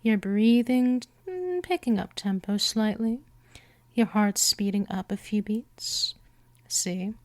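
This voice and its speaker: A woman voicing a gentle but possessive vampire mistress in a hypnosis ASMR roleplay, coaxing softly and often slipping toward a whisper. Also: medium pitch, dry recording, lightly acted. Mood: teasing, seductive. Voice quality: soft, breathy whispering in places. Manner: slow, coaxing, drawn-out delivery.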